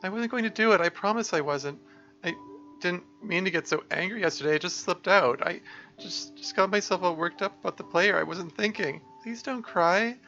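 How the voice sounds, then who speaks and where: A man voicing a gentle, anxious teenage girl, pleading and halting, with a hoarse voice. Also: high voice, highs cut off, soft background music, pitched above the performer's own voice.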